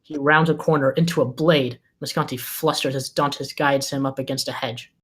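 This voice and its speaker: A man's voice: medium pitch, fairly even intonation, normal speed, fairly bright tone. A man reading scripted screenplay directions as the narrator of a table read.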